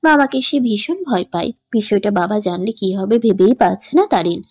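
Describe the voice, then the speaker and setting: Mellow female story narrator, dry sound. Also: mid-range voice, lively intonation, brisk, slightly rough, dry recording. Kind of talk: scripted dramatic story narration.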